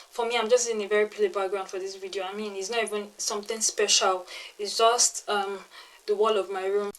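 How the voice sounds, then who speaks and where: A woman's voice: mid-range voice, low-quality recording. A young woman with a Nigerian English accent gives a casual phone-video tutorial from her bedroom. She is chatty, says um often, and repeats points for emphasis. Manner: chatty, repeats herself for emphasis, frequent ums. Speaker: tech and lifestyle YouTuber. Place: plain-walled bedroom, indoors. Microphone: small external clip-on mic.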